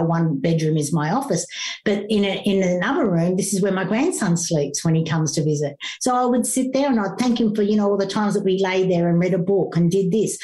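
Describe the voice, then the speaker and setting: A woman's voice: lowish voice, mellow. A middle-aged woman, a grief coach talking through her process in an interview in an earnest, teacherly way, with frequent fillers and restarts. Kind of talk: conversational interview.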